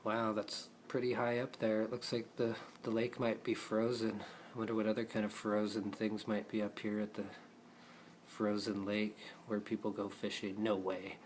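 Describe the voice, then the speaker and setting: A man's voice: lowish voice, level tone, normal speed, slight background noise. A man improvising playful puppet show narration, chatting casually as if to the puppets, in an amateur recording.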